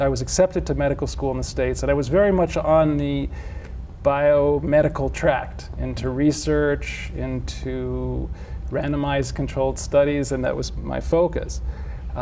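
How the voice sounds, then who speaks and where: A middle-aged man from Switzerland, a physician and homeopath, giving measured explanatory interview answers with frequent restarts. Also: mid-range voice, warm tone, slight background noise.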